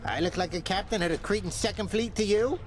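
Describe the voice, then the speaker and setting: A man's voice: sits high, fast talker, faint music behind, lightly acted. A man playing a brash, cheeky undead skeleton in a video game, talking in streetwise, sarcastic slang. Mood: wisecracking, defensive. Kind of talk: video game character dialogue.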